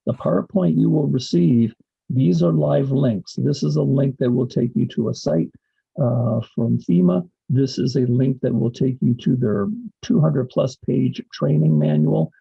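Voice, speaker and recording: A man with a gravelly, husky voice presenting a slideshow as host on a video call. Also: mid-range voice, unhurried, dry recording, highs cut off. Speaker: host introducing a guest speaker.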